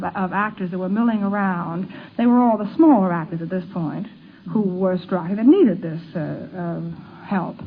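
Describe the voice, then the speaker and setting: Woman telling anecdotes on noisy old radio. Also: mid-range voice. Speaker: interviewee recalling her mother. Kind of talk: informal spoken anecdote. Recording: narrow vintage radio, faint noise.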